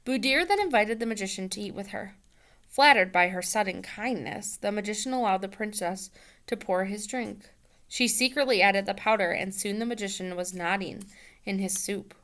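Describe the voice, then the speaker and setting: Female teacher type for a storybook read-aloud; expressive, playful, informal. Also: mid-range voice. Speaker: teacher reading aloud to students.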